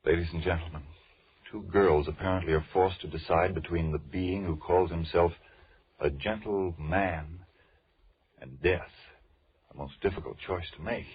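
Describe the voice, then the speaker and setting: A man announcing in a dramatic 1940s radio style, as narrator and pitchman. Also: moderate pace, breathy edge. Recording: narrow lo-fi old radio recording.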